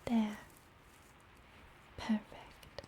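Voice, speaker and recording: A woman playing a friendly apothecary witch in a fantasy ASMR roleplay, speaking gently in a breathy, soothing whisper. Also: a little hiss or hum. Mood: calm, instructive. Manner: slow, gentle, soothing delivery. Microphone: close ASMR microphone.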